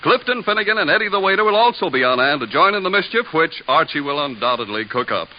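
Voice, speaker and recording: Male 1950s network announcer, formal and polished, animated pitch, muffled old broadcast. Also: quick pace. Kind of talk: 1950s radio announcing, scripted. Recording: dull, hissy old radio recording.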